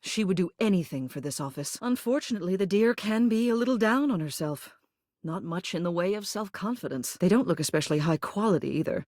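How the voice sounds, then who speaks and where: A woman's voice: on the low side, animated, fairly quick, darkish tone, no echo, close to an everyday voice. Woman playing a genteel, commanding lady mayor, dry studio sound. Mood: fond, composed. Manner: formal, authoritative, genteel, fond endearments. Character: dignified, protective woman mayor, an old living household object. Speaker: voice actor in a dating sim game. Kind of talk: polished video game character acting.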